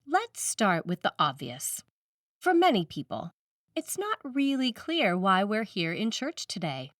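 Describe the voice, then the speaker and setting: A woman's voice: mid-range voice, very animated, measured pace, fairly bright tone, no echo, far from the mic. Woman reading a sermon, dry sound. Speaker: priest reading a written sermon.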